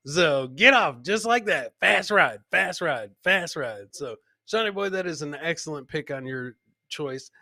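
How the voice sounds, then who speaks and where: A man's voice: on the high side, sharp tone, no room sound, studio quality. A man hosting a casual comedy show, commenting on clips and often repeating phrases for emphasis. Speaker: podcast host.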